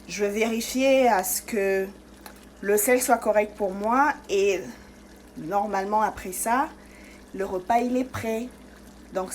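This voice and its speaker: Brief: woman cooking-channel host from Congo-Kinshasa, mid pitch, casual step-by-step chat, faint kitchen noise. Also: darkish tone. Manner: chatty, step-by-step, many fillers.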